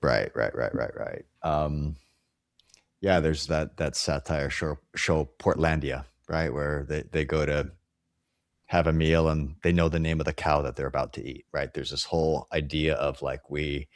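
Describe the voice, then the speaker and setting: A man from Hawaii hosts a podcast interview with a very deep, low voice. He rambles a little, filling pauses and restarting his sentences. The recording is close and dry, with no room sound. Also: fairly even intonation, dry recording. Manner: rambling, many fillers and restarts. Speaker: podcast host. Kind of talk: casual interview.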